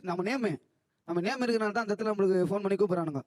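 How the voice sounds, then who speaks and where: A man's voice: sits high, rapid-fire, dry recording, clean studio sound. A young man talking boastfully as a talk show guest. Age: young adult.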